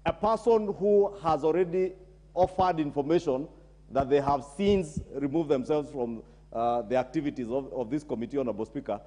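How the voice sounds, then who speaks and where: A man's voice: on the high side, normal speed, mellow, big-room sound. A middle-aged Kenyan man, a member of parliament, making a combative, accusing speech in debate. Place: large parliament chamber. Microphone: desk microphone.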